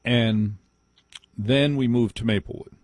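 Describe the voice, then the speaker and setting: A man's voice: mid-range voice, steady tone, a little slow, a little mellow, no room sound. An American man hosting a live call-in radio show, speaking emphatically and repeating phrases for effect. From New Jersey, United States. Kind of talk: live talk radio conversation.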